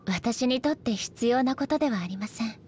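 A woman voicing a composed, serenely proud goddess ruler in an anime game, speaking in measured, formal and polite phrases. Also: a little high, expressive, a little mellow, slight background noise, natural voice.

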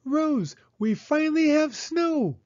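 A man's voice: very high voice, expressive, slow, darkish tone, muffled recording, pitched above the performer's own voice, full character voice. A man voicing a sweet, eager, curious little girl mouse in a children's storybook, with earnest childlike questioning. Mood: excited.

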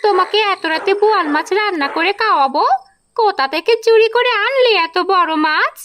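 Woman acting a very shrill nagging cartoon wife. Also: expressive, brisk, fairly bright tone, a little hiss or hum. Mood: annoyed, scolding. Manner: scolding, complaining. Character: nagging, fed-up wife of a village thief. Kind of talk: Bengali cartoon folk-tale acting.

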